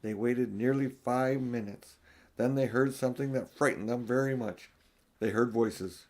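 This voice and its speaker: An older man reads a children's story aloud as an audiobook narrator. His pitch sits in a middle range, and he tells the tale expressively. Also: a little slow, a little mellow. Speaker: audiobook reader.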